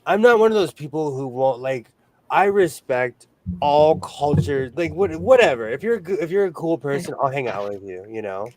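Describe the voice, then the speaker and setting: Higher-pitched man, casual livestream chat. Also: lively intonation, normal speed, a little mellow, poor audio. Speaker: host of a sewing livestream.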